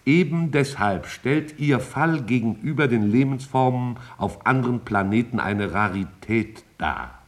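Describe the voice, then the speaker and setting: An actor playing a detached, intelligent alien cloud, explaining things in a formal, measured way as in a 1950s radio play. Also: male voice, medium pitch, fairly bright tone. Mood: detached, instructive.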